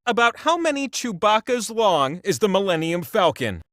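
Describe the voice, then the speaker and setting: A man's voice: high voice, very animated, moderate pace, a bit bright, dry recording, clean studio sound. A man voicing an omnipotent talking wheel in a video game, posing trivia questions with theatrical, playful, wry delivery. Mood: playful quizzing. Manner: theatrical, wry asides, quizzing. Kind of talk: scripted quiz-show narration.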